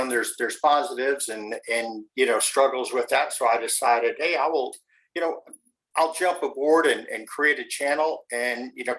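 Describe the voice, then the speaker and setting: A man's voice: medium pitch, a bit bright, poor audio. A man from the USA hosting a casual interview on his channel, friendly and upbeat, with lots of fillers. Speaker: YouTube channel host. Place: home in the mountains.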